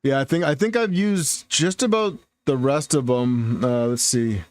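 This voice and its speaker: A man hosts a casual live chat about gear, his voice close and dry against the mic. He talks in a relaxed, thinking-aloud way, often backing up and restarting a sentence mid-thought. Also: medium pitch, unhurried, no echo. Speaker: livestream host.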